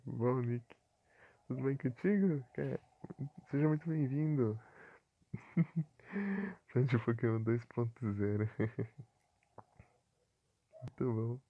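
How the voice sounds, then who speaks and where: A man's voice: mid-range voice, slow, pauses often, narrow, tinny audio. A Brazilian man from Paraná streaming a game live, chatting casually with viewers and chuckling often.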